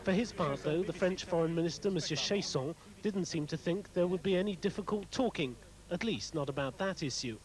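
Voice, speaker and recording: A man's voice: sits high, brisk, crowd noise. A man giving a scripted report as a foreign news correspondent.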